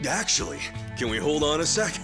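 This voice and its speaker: An actor playing an earnest, hot-blooded ex-yakuza hero in a video game cutscene, excitable and prone to stammering when sheepish. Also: male voice, fairly high pitch, fairly quick, over music. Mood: warm, upbeat.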